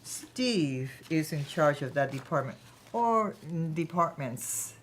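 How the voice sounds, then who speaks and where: A woman's voice: deep voice, expressive, takes it slowly, a little hiss or hum. A woman playing a proud, ambitious, chatty founder of her own company in a staged play reading. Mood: enthusiastic, candid.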